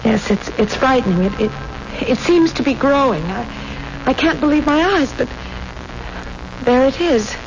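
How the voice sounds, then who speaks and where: An actress playing a fearful, awed granddaughter in a 1970s radio drama, halting and frightened. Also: female voice, high voice, expressive. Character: fearful, awed granddaughter tending an old man. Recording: muffled, noisy old radio recording.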